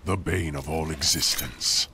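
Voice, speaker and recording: Deep, proud warrior emperor. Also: male voice, unhurried, exaggerated voice. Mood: defiant. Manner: formal, defiant. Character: proud, wary male warrior emperor of another realm. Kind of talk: video game voice acting. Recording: loud game noise behind.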